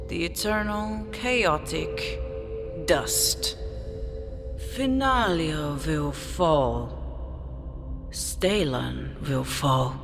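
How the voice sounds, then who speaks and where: Slow, gravelly, regal desert queen. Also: on the low side, full character voice. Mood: menacing, triumphant. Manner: slow, theatrical, grandiose and dramatic. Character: regal, imperious desert queen of an illicit city. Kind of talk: theatrical audio drama monologue. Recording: long reverb, music behind.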